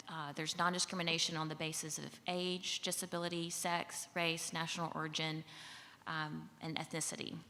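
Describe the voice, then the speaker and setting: Woman, Southern accent, conference talk, friendly, casual fillers, lowish voice, reverberant hall. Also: a bit bright. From Mississippi. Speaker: government official giving a talk. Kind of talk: conference presentation.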